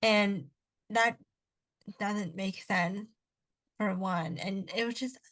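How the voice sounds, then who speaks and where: A woman's voice: on the high side, pushed and bright, rough recording. A young woman who grew up speaking Cantonese at home, co-hosting a casual podcast chat, talking in a rambling way with many restarts and asides. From Canada. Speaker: podcast co-host.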